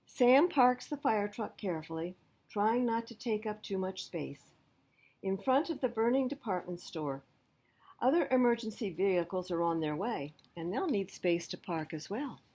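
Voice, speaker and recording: A woman reading a picture book aloud to young children as a library storyteller, pausing to explain things simply. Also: medium pitch, lively intonation, a little mellow, husky.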